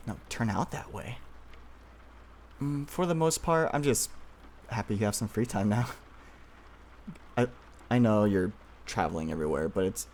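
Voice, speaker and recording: Guy acting as yandere boyfriend, lowish voice, soft and hesitant, possessive, asmr roleplay, noisy background. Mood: shy, fond. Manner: soft, halting, hesitant with nervous pauses. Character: obsessive yandere boyfriend, childhood friend, tender turning possessive.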